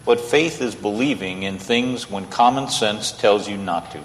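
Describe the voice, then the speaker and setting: A man's voice: on the low side, unhurried, slight background noise. A man acting an idealistic, earnest lawyer in an old-time radio play, speaking persuasively. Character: idealistic, earnest male lawyer with stubborn faith. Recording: reverberant hall, stage radio play.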